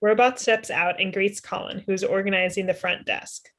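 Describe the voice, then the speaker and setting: A woman's voice: mid-range voice, fairly even intonation, moderate pace, fairly bright tone. A woman in her twenties reading stage directions matter-of-factly at a table read over a video call. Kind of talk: scripted narration at a table read. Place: indoor room at home.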